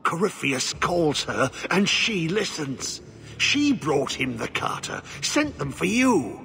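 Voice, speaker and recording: An actor playing a frantic, half-monster old warden who warns in halting, broken phrases in a fantasy game. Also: male voice, on the high side, big pitch swings, pushed and bright, slight background noise, full character voice. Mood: agitated pleading. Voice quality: creaky, strained. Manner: halting, agitated warnings in short broken phrases. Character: frantic, half-Darkspawn tainted old Grey Warden man.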